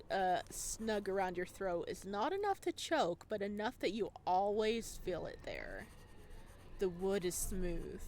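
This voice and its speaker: A woman reading visual novel narration aloud as a gaming host, with an expressive delivery that can break into reactions. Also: medium pitch, a little hiss or hum.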